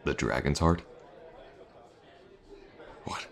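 A man playing a tough, easygoing mercenary warrior in a fantasy audio roleplay, talking in a laid-back, musing murmur with unhurried pauses. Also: very deep voice, vocal fry, a faint crowd, heavily acted. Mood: intrigued, wry. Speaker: voice actor. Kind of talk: fantasy audio roleplay, intimate conversational acting.

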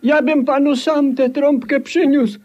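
A dull vintage radio recording of a neurotic little shopkeeper. The voice is extremely high and querulous, stammering and chuckling in a timid, theatrical way. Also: male voice, little pitch movement, measured pace, darkish tone. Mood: whining, anxious. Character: cackling, neurotic, timid old-fashioned shopkeeper. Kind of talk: 1950s radio play acting.

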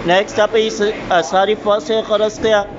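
A subway train conductor making live announcements, halting and repeating lines with many hesitations. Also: mid-range voice, level tone, background noise. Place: subway car, heard over the train PA. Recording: echoey muffled PA in a crowded train.

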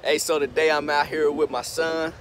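A man's voice: fairly high pitch, little pitch movement, moderate pace, loud music behind the voice. A man in his thirties from Indiana, giving an upbeat, preachy pep talk while filming himself on a phone held at arm's length, outdoors and in a truck. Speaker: vlogger and business mentor. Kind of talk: casual motivational vlog talk. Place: outdoors by schools, then inside a pickup truck. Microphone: phone camera at arm's length.

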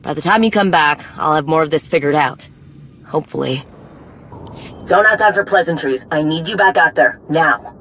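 Blunt, low-voiced warrior woman. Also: normal speed, fairly bright tone, a little hiss or hum, rough recording. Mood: urgent. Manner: blunt, clipped, dry. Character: brisk, no-nonsense Mandalorian warrior woman, dry and proud. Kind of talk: video game cutscene acting.

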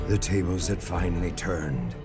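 A deep-voiced man as solemn narrator of an ancient war epic for a game. His delivery swings expressively, with loud music behind him. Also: animated, moderate pace.

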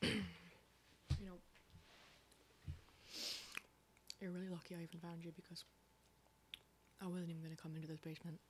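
A woman playing a foul-mouthed, sardonic apocalypse survivor in an ASMR horror roleplay, breathy, dry and often whispering. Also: lowish voice, flat delivery, a little hiss or hum, natural voice. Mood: relaxed, wry. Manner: sweary, dry, unhurried.

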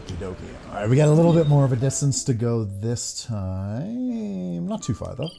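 A man's voice: mid-range voice, big pitch swings, moderate pace, gravelly. A man around his thirties giving casual, musing commentary as he plays a video game. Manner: laid-back, musing. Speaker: gaming host. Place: indoor room at home. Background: game music.

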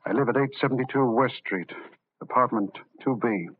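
A man's voice: medium pitch, fairly quick, breathy edge, natural voice. An actor playing a grave, authoritative male doctor in an old-time radio drama. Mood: grim confession. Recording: tinny, hissy old radio recording.

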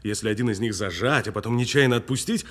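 An actor playing a cool, sardonic detainee who needles a policeman with deliberate, mocking delivery in an audio drama. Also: medium pitch, a bit bright, natural voice. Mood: mocking, menacing. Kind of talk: naturalistic audio film drama acting.

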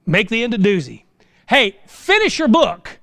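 A man's voice: high-pitched, big pitch swings, normal speed, creaky, a bit bright, no room sound, studio quality. A middle-aged American man preaching an informal sermon in a folksy, exhortative way, full of rhetorical questions. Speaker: pastor preaching. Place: small church sanctuary, indoors.

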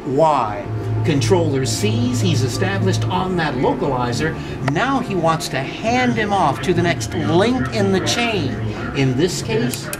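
A man in his sixties giving an interactive guided demonstration to visitors, speaking emphatically in short phrases with pauses and questions. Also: high voice, big pitch swings, over loud music. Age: 60s. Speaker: museum docent. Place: indoor museum exhibit. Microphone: visitor's handheld camera a short distance away.

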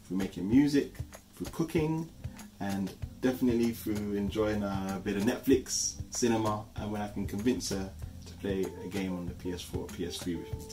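A man, co-hosting a channel introduction from a living room sofa in a measured, earnest way. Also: sits low, low-quality recording. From East London, England. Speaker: channel co-host. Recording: music playing underneath. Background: soft background music.

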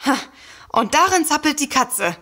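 Aufgeweckte Frau, helle Stimme. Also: female voice, sits high, lively intonation, normal speed, sharp tone. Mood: eager, alert. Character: alert, forthright woman. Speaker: actor in an audio drama. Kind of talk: comedic audio drama acting.

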